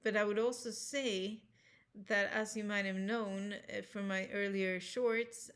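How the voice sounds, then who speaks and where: A woman with a Swedish accent, speaking English as a second language, talking casually and earnestly to camera as a health vlogger, rambling with restarts and self-corrections. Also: medium pitch. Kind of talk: casual personal talk to camera.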